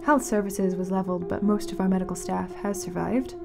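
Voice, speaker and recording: A woman playing a grave, mournful radio announcer in a fiction podcast, speaking solemnly and dramatically with weighted pauses. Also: mid-range voice, vocal fry, music in the background, lightly acted. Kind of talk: scripted fiction-podcast narration as a community radio broadcast.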